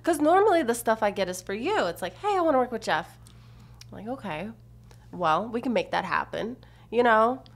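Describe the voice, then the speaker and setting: Female podcast co-host, blunt and assertive, slightly high voice. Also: a little fast. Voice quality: low for a woman, assertive. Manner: blunt, animated storyteller. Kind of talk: casual chat.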